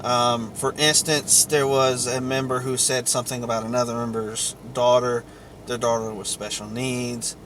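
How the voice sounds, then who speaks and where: Male vlogger, unhurried and halting, telling a rambling personal story; faint vehicle-like noise behind. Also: mid-range voice, fairly bright tone. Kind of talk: rambling personal account to camera or mic.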